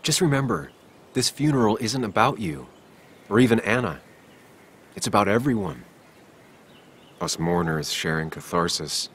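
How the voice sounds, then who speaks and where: A man playing a calm, encouraging adviser in video game drama, speaking in a measured, articulate, coaching manner. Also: fairly low pitch, a little hiss or hum. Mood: reassuring, instructive. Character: calm, encouraging male adviser urging empathy at a funeral.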